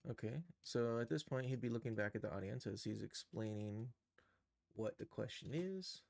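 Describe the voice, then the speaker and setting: A man with a heavily creaky, gravelly voice talks through his on-screen work in a casual livestream tutorial. He thinks aloud and mutters to himself, and the sound is close and dry. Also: on the low side, normal speed, darkish tone, no echo.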